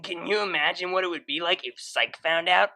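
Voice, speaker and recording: A woman's voice: on the low side, a little fast, bright, forceful tone. A woman voicing a brash, playful young female unicorn DJ who talks in a casual, slangy, teasing way. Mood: casual, candid. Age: young adult.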